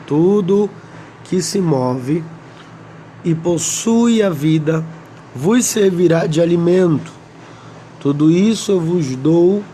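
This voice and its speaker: A man with a Brazilian Portuguese accent, slightly hoarse, teaching a Bible lesson and reading scripture slowly with many pauses. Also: a little high, a little mellow, a little hiss or hum. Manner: slow, deliberate, pausing often, asks "amém?".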